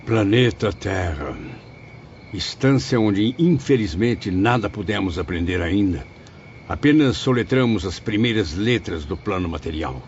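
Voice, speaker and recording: Man as a reflective, low-voiced spirit professor. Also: lively intonation, unhurried. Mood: rueful. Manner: formal, reflective. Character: learned male spirit professor, gently rueful among friends. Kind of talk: dramatized audiobook dialogue. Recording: muffled, faint noise, outdoor night ambience.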